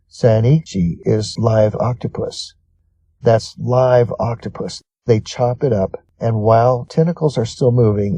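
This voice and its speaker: Very mellow man narrating, husky and dry. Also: mid-range voice, no echo. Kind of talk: scripted reading of a list article.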